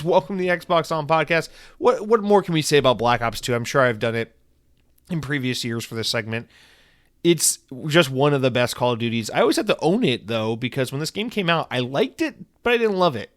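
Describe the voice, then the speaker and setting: Casual male podcast host in his thirties, middle pitch, tired with a head cold, rambling and profane, dry close mic. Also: a bit bright, no room sound. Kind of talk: casual solo podcast chat.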